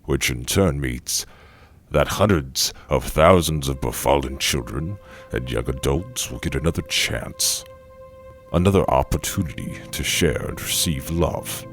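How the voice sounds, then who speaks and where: A wealthy gala host speaks in a slow, extremely deep, gravelly voice over faint music. He is jovial and theatrical in this audio drama, savoring his grand phrases with a silky menace. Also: lively intonation, full character voice. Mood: grandly gracious. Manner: grandiose, savoring, drawn-out delivery. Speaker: banquet host.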